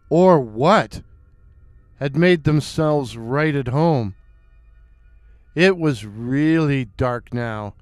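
Slow, dramatic male horror storyteller. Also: on the high side, a little mellow, clean tone, no room sound, clean studio sound. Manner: suspenseful, repeats words for effect. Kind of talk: first-person horror story narration.

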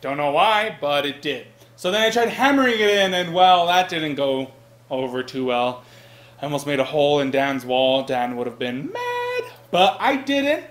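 A man's voice: fairly high pitch, sing-song, normal speed, a bit bright. A man playing a bumbling, earnest roommate in a mockumentary comedy sketch, rambling with naive confidence. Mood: earnest, baffled. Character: bumbling, earnest male roommate, self-styled handyman. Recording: echoey room sound.

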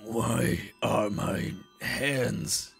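An anguished, confused skeleton played by a man mutters very slowly. The voice is badly rasping and full of gravel, bitter and halting, in a dramatic cartoon dub. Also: mid-range voice, fairly even intonation, fairly bright tone, full character voice. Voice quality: raspy, creaky, husky. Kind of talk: dramatic comic dub voice acting. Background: music.

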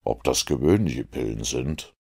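A man voicing a keen, self-assured detective who explains his conclusions in a measured, commanding way. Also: very deep voice, lively intonation, darkish tone, breathy edge, voice pushed down, exaggerated voice. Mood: patient.

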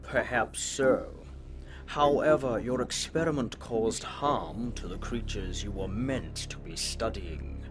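An actor playing a stern, principled, long-lived professor who speaks gravely and formally in a sci-fi audio drama. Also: male voice, on the low side, takes it slowly, music in the background.

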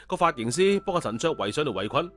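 A man's voice: fairly high pitch, sing-song, fast talker, fairly bright tone. Male storyteller over music. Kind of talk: colloquial audio story narration.

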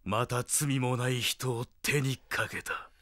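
An actor playing a tough, righteous young delinquent hero in a Japanese audio drama, with rough, curt, taunting speech. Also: male voice, mid-range voice, slow, sharp tone, slight background noise. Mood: contemptuous anger.

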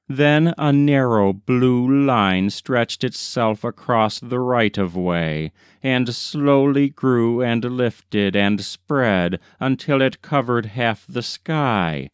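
A man narrates a story as an audiobook reader. His delivery is slow and deliberate, with a smooth, resonant voice of middling pitch. The sound is dry and close. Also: no echo. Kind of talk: scripted literary narration.

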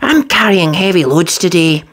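A storybook narrator voicing an excitable, cheeky young male steam engine. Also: medium pitch, normal speed, voice pushed up. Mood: puffed, dismissive.

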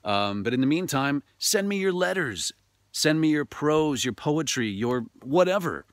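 A man's voice: on the high side, big pitch swings, unhurried, no echo. A middle-aged man who works as a storyteller, talking and narrating in a dramatic way. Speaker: storyteller, voice actor. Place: outdoors in a forest.

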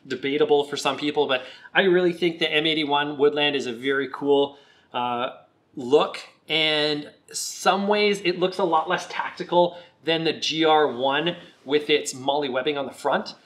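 A man with a slightly croaky voice, recovering from a cold, giving a relaxed, chatty product review on his video channel. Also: a little high. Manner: relaxed, chatty, with some fillers.

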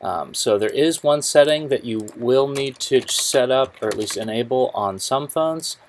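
A young man presenting a casual tech tutorial in an informal, halting way, often correcting himself. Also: medium pitch, slight background noise. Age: young adult. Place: small office cubicle indoors, then screen recording voice-over.

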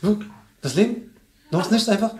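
A man in his thirties doing loud, teasing crowd work at a live stand-up show, slangy and laughing often, into a handheld mic. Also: high voice, animated, fairly quick. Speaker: stand-up comedian. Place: small comedy club stage with audience. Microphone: handheld stage mic. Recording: echoey club with audience noise.

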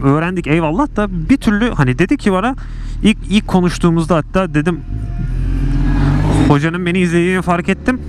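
A man talking casually in a rambling, self-mocking way while riding a motorcycle through city streets, recorded on a helmet mic. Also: high-pitched. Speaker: motovlogger. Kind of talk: casual riding vlog chat. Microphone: helmet mic on a moving motorcycle. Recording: helmet mic with road and wind noise. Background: traffic and engine noise.

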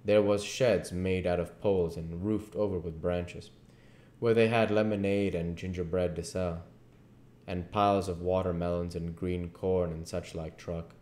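A man in his thirties narrates a story in a low voice. He reads audiobook style at home, and the room adds clear echo. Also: fairly even intonation, a little slow, a little mellow. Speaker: narrator reading a novel aloud. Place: living room at home, indoors. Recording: echoey room.

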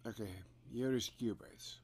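A South African man with an Afrikaans accent, a composer walking through music software in a rambling, hesitant way with lots of fillers and restarts. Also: medium pitch, steady tone, measured pace, husky. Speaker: composer giving a software tutorial. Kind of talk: casual screen-recorded software walkthrough. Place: home studio room.

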